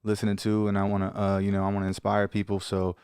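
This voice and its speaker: A man's voice: fairly low pitch, completely flat delivery, quick pace, a little mellow, clean tone, dry recording, studio quality. A man in his thirties hosting a podcast, asking long, rambling, eager questions. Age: 30s. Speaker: podcast host. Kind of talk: casual interview chat.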